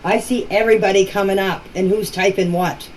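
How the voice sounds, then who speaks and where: A woman leaving informal voice messages for a group chat, explaining things in a halting, repetitive way. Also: mid-range voice, fairly even intonation, darkish tone. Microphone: phone. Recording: noisy phone voice clips.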